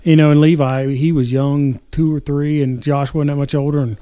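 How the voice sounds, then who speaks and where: A middle-aged man recounts a mishap in relaxed chat. His voice is mellow and slightly high, with a plainspoken, exasperated tone. The sound is close and dry. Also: normal speed, clear, resonant tone, no room sound. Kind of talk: casual chat and anecdote.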